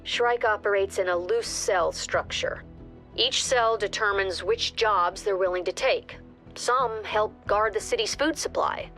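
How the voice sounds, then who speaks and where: A woman voices a game's briefing officer who speaks in crisp, clipped sentences. Her voice sits mid-range and stays level. Soft score music plays underneath. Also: mid-range voice, measured pace, lightly acted. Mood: calm. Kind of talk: game cutscene voice acting.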